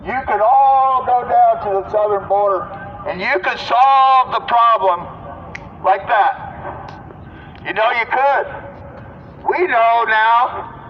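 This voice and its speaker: A man's voice: extremely high pitch, slow pace, pauses often. An American man giving a scolding political street speech through a megaphone, full of insistent questions, outdoors in a city. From United States. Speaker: street activist. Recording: dull muffled megaphone sound, outdoor noise.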